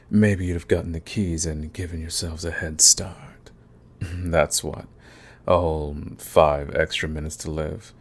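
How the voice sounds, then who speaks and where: Villain, male actor: deep voice, cool menace, smug and taunting, unhurried threats, audio roleplay. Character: cocky, menacing male villain, coolly fond of his enemy. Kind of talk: ASMR roleplay acting, addressing the listener.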